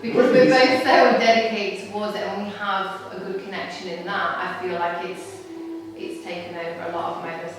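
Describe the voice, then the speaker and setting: A woman's voice: mid-range voice, fairly even intonation, normal speed, background noise. Woman in an echoey dance hall. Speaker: dance school owner. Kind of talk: interview. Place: room in a dance school. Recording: echoey hall, mic far off.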